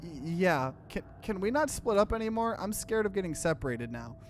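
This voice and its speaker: A man's voice: sits high, sing-song, moderate pace, slight background noise. Man as timid, stammering young friend. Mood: scared. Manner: stammering, hesitant. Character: timid, anxious young friend, scared and earnest.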